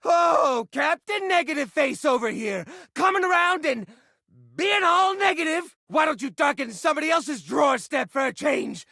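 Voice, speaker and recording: Casting: neurotic junk-drawer hoarder for a game; swooping pitch, gravelly creak, whiny and theatrical. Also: male voice, lively intonation, moderate pace, pushed and bright, no room sound, studio quality, heavily acted. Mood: indignant, snarky. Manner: theatrical, whiny, defensive, stammering when upset. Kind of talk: video game character voice acting.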